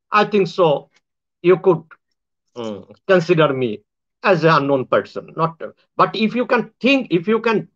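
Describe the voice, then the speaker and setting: A Bangladeshi man speaks English with a Bangladeshi accent in a high, bright voice. He rambles to his viewers in a loose monologue, correcting himself often and making grand claims. The recording sounds dull and lo-fi. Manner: rambling, with many restarts and self-corrections. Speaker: online show host.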